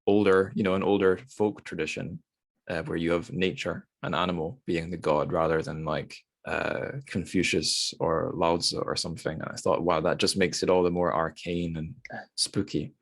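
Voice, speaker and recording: A man's voice: low voice, flat delivery, normal speed. A man hosting a podcast, chatting casually about literature in a rambling, self-deprecating way. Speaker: podcast host.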